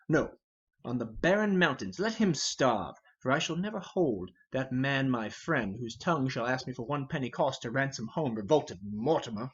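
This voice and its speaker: A man plays an imperious, suspicious king in a stage history. He commands and accuses in formal verse with a husky, lively-pitched voice. The sound is a little dull. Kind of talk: Shakespearean verse drama reading.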